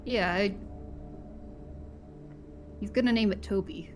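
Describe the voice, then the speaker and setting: A woman's voice: medium pitch, takes it slowly, soft background music, natural voice. A woman playing a young food worker in casual improvised game role-play, speaking in a plain, matter-of-fact way.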